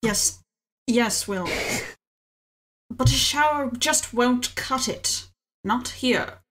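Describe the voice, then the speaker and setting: A woman's voice: fairly high pitch, extremely slow, a bit bright, slight background noise. A woman playing a dismissive, impatient officer in an improvised comic film dub, curt and sarcastic. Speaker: improv fandub voice actor.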